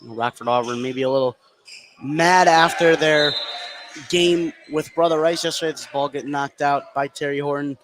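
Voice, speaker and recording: Man, play-by-play announcer, fairly high pitch, live basketball radio commentary, clear gym noise in the background. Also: normal speed. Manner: voice rises excitedly as shots go up. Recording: clearly noisy gym ambience. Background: sports gym noise.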